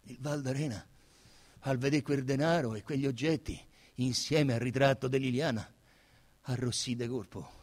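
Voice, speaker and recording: A man with a Roman accent giving an emphatic, declamatory, expressive theatrical reading of literary narration. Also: mid-range voice, normal speed. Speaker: stage actor reading a novel as narrator. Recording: reverberant hall.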